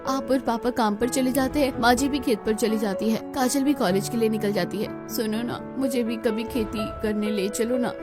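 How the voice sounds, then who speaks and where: A sweet, eager young bride in a cartoon family story, voiced by a woman. Her voice is very high, with music clearly playing underneath. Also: steady tone, moderate pace, few pauses, close to an everyday voice. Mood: wistful. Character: eager young city-bred daughter-in-law, sweet newlywed.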